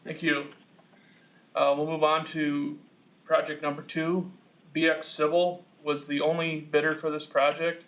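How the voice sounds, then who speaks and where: A man formally presenting a report to a commission in a measured, businesslike, somewhat halting way. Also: a little high, completely flat delivery, fairly bright tone, low-quality recording. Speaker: project engineer presenting to a commission.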